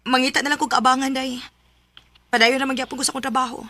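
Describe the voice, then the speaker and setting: A woman's voice: high-pitched, little pitch movement, fast talker, pushed and bright. An actress playing an anxious, tender young single mother in a Filipino radio drama, with a Filipino accent and emotional, hesitant delivery. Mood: sad, resolute.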